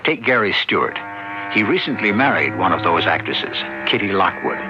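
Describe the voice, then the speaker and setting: Guy narrating an old radio drama, low voice, measured and suspenseful, clear music behind him. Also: sing-song, lo-fi sound.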